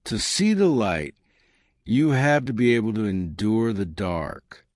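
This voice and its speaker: A man narrating a scripted dramatic story in a solemn, deliberate manner. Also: fairly low pitch, big pitch swings, dry recording, studio quality.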